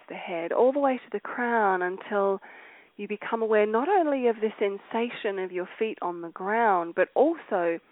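A woman leading a guided meditation, speaking slowly and softly and now and then trailing into a whisper. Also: medium pitch, dry recording. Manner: slow, soft and soothing, drifting into whispers. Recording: dry, tinny phone-like sound.

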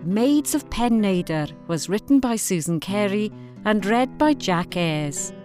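A woman reading scripted closing credits as a podcast announcer. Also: fairly low pitch.

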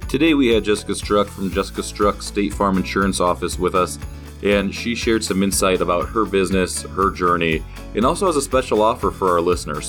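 Male podcast host, American, low register, conversational, complimentary interviewer. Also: fairly bright tone. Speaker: podcast host and chamber executive director. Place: studio in an office.

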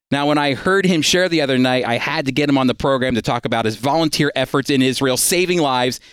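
A man's voice: fairly high pitch, fairly bright tone, clear, resonant tone, no room sound, studio quality. A man hosting a radio program, presenting introductions and interviewing a guest. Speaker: radio show host and Bible teacher.

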